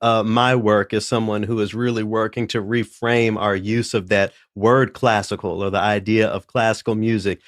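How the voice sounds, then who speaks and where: A man's voice: lowish voice, flat delivery, normal speed, few pauses, no echo, studio quality. A man hosting a podcast, asking curious, exploratory questions about music. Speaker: podcast host. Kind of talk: interviewer.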